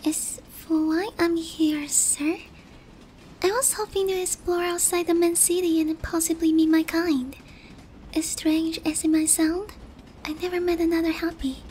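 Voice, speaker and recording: A woman's voice: very high-pitched, a little mellow. A woman voicing a naive, sheltered young harpy in an ASMR roleplay, speaking politely and hesitantly to one listener. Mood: earnest, hesitant. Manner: timid, hesitant, polite, airheaded.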